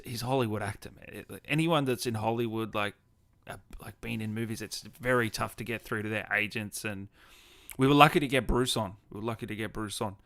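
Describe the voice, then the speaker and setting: A man's voice: mid-range voice, fairly bright tone, smooth, dry recording, studio quality. A man hosting a live gaming stream, chatting casually and answering viewers in an informal, matey way. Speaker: live stream host and gaming content creator. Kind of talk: casual chat, reading and answering viewer comments.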